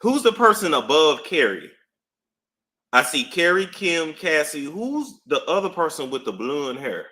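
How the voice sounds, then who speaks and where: A man giving casual live-stream commentary, emphatic and animated, repeating phrases for effect. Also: on the high side, unhurried. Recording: roomy echo.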